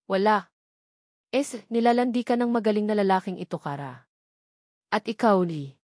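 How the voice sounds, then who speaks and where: A woman voicing a hot-tempered, commanding billionaire husband with a forceful, demanding manner in a dramatised novel reading. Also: mid-range voice, expressive, moderate pace, no echo, studio quality, lightly acted. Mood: angry, jealous.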